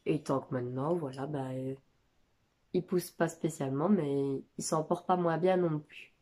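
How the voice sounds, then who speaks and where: A woman in her thirties with a cold and a nasal voice, chatting casually about her houseplants at home, pausing often with filler sounds. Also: deep voice, mellow. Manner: hesitant, many filler sounds. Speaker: plant vlogger. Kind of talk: casual chat. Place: home living room.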